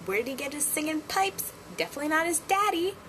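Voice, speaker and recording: Young woman, casual vlogger, giggly and chatty, slightly raised pitch, audible background noise. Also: expressive, quick pace. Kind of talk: casual vlog chat.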